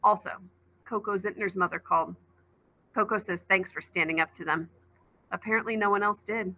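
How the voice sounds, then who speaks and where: A concerned dad from a children's storybook, played by a woman reading aloud. He is exasperated yet gentle, scolding mildly with wry fondness in a bright, mid-pitched voice. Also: steady tone, normal speed, rough recording. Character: concerned, exasperated but gentle father of a young girl.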